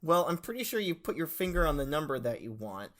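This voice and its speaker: A man's voice: on the high side, very animated, quick pace, a bit bright, slight background noise. A man hosting a comedy podcast in the role of a professor, mock-formal and laughing often. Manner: playful, mock-formal lecturing with frequent laughs. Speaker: podcast host playing a professor.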